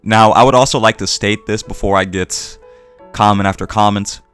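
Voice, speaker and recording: A man with a fairly low voice delivers a scripted opinion essay for his channel. His phrasing is earnest and emphatic, and music plays behind him. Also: fairly even intonation, moderate pace, fairly bright tone, clean tone. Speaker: video essayist, channel host.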